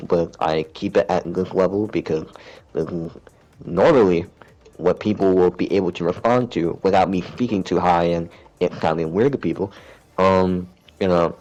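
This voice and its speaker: Deep gravelly man, hesitant talk. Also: muffled recording. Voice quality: deep, gravelly voice kept raised. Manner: rambling, hesitant, frequent fillers and restarts; soft-spoken, self-described shy. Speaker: gamer chatting on stream. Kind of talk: casual chat.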